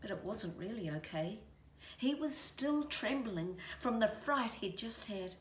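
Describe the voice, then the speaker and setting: Woman, children's storyteller, animated pitch, unhurried pace, echoey room, distant mic. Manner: expressive, deliberate. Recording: roomy, distant mic, faint noise.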